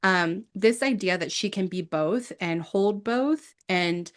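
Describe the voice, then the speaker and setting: A woman's voice: mid-range voice, slow pace. A woman from South Korea and the United States speaking as a guest in a reflective interview, earnestly explaining her views. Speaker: guest, Persephone devotee.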